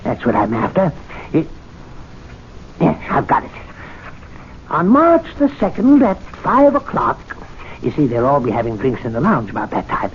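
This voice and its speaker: A timid club attendant who mutters and schemes, his voice swinging widely in pitch and sitting a little high. It comes through a dull, hissy old radio broadcast. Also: exaggerated voice. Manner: excitable, muttering, plotting aloud. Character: meek club attendant, middle-aged man, scheming. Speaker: lead actor in radio drama. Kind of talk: 1970s radio drama acting.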